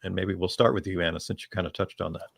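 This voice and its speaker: A man with a low, gravelly voice moderates a discussion panel. He speaks off the cuff and feels his way through each thought with measured phrasing. The sound is close and dry. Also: flat delivery, no room sound.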